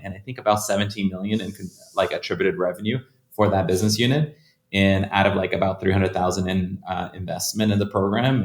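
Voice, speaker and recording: A man from Brazil, a guest on an interview podcast, telling his career story in a loose, conversational way and often repeating words as he restarts. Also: low-pitched. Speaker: guest, startup founder. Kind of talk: casual interview answers. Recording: echoey room.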